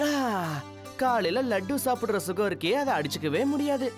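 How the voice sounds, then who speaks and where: A woman's voice: mid-range voice, animated, normal speed, natural voice. A woman voicing a confident, kind-hearted young boy hero in a children's cartoon, assured and plain-spoken. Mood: content. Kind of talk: children's cartoon dub acting. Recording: music under the voice.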